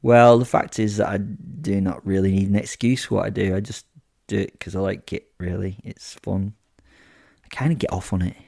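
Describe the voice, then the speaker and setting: A man's voice: low-pitched, little pitch movement, normal speed, gravelly, darkish tone, dry recording, studio quality. A man voicing a sadistic, gleeful werewolf killer who tells horror audio drama in the first person, with flippant, theatrical asides. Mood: dry, confiding. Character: sadistic, gleeful male werewolf serial killer narrating his kills.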